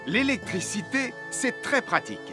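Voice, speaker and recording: Dependable children's cartoon fireman, high and very varied in pitch, teaching safety. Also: big pitch swings, moderate pace, over music. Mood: upbeat, cautioning. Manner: instructive, clearly addressed to young children. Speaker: presenter. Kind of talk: scripted children's safety narration.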